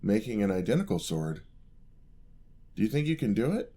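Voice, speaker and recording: A man's voice: fairly low pitch, very animated, moderate pace. A man playing a sweet, eager young paladin apprentice in a fantasy ASMR roleplay, speaking politely and earnestly to the listener. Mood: admiring, helpful. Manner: polite, earnest, gently encouraging.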